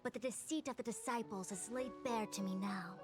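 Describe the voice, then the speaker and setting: A woman's voice: medium pitch, brisk, natural voice. A woman playing a composed, proud young diviner and commander in an anime-style video game, formal and authoritative. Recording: game music behind.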